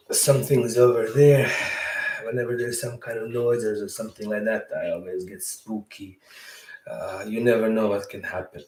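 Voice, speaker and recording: Bosnian guy, serbo-croatian first language, slow rambling story at home, kinda far from the mic, echoey room. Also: lowish voice. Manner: rambling, self-correcting, chuckles often. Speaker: podcast host. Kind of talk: casual storytelling.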